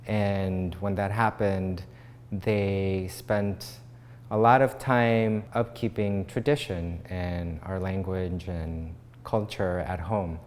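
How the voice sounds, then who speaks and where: A young man from Chile, a playwright, speaking reflectively in a documentary interview with measured pauses. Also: low-pitched, steady tone, a little mellow. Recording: long hall reverb, faint background hum.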